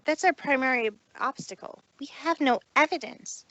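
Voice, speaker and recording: A woman voicing a proud, self-important female pony in a fan fiction read-aloud, speaking deliberately and indignantly. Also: high-pitched, fairly bright tone, dry recording, lo-fi sound. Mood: resolute.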